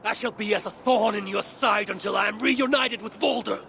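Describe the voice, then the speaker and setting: A proud warrior god with a fairly high, gravelly voice. He declaims solemnly and stubbornly in video game drama style, with noisy ambience behind him. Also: normal speed, heavily acted. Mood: defiant, demanding. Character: proud, obsessive warrior god seeking his lost son. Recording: loud background noise of game ambience.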